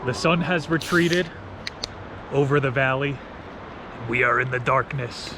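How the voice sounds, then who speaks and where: A man's voice: mid-range voice, a little slow, over music. A man from New Jersey with an American accent, chatting and telling stories in a casual, slangy, playful way as a travel vlogger. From New Jersey, United States. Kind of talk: casual vlog chat with storytelling. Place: outdoors, camping.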